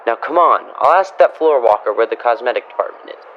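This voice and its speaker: Man acting an excitable teen boy, swooping pitch. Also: fast talker, dull audio, a little hiss or hum, lightly acted. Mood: earnest, bustling. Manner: eager, exclaiming, gee-whiz wholesome. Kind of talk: old-time radio comedy acting.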